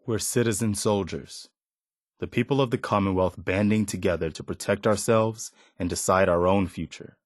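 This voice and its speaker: An actor voicing an earnest, resolute militia leader who rallies settlers, in video game dialogue. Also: male voice, on the low side, moderate pace, dry recording, clean studio sound, natural voice.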